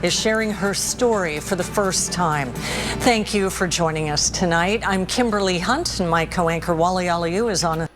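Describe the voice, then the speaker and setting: A woman's voice: lowish voice, normal speed, over music. A woman anchor reading scripted television news in a formal broadcast style.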